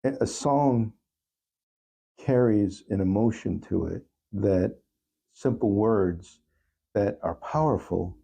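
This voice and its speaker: A man's voice: lowish voice, slow, gravelly, pauses often, warm tone, husky. A man speaking earnestly as an interview guest, reflecting on his songwriting and repeating phrases for emphasis. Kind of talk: reflective interview talk about own songwriting.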